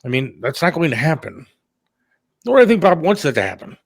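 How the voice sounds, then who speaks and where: A man's voice: on the high side, sing-song, brisk, creaky, breathy edge, no echo, studio quality. A middle-aged man talking candidly and casually on a talk show, plain-spoken and a little defensive. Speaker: radio producer and talk show host.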